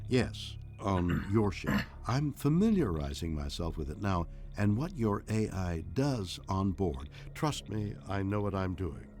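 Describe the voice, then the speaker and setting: An actor playing an ancient, enigmatic alien entity in a game, speaking calmly and formally in measured, cryptic lines. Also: male voice, deep voice, heavily acted. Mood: guarded, assured. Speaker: voice pack character. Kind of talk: scripted game dialogue.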